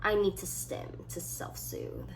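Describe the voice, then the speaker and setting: A woman of about twenty chatting casually as a solo vlogger, reading items aloud and thinking through short, halting answers. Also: fairly low pitch, huge pitch swings, poor audio. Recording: clearly audible background noise.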